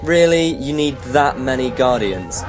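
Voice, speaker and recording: A man's voice: medium pitch, animated, a little slow, lightly acted, low-quality recording. A man playing a cheeky, irreverent quester in a comic radio play, blunt and colloquial. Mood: incredulous. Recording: music clearly behind the voice.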